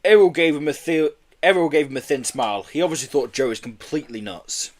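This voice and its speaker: A man narrating a children's book as an audiobook reader, in an expressive storytelling style. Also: fairly high pitch, fairly quick.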